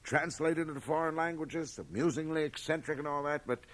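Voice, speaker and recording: An actor playing a blustering board chairman of about fifty in a radio drama, with an emphatic, sometimes halting delivery. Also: a little high, natural voice. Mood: firm, placating. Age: middle-aged. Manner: emphatic, hesitant then blustering. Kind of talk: 1980 radio drama acting. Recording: home cassette transfer of radio broadcast.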